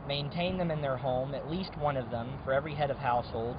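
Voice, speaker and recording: A man's voice: mid-range voice, fairly even intonation, fast. A man giving an earnest interview as a local resident, arguing his case. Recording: muffled, noisy outdoor street audio.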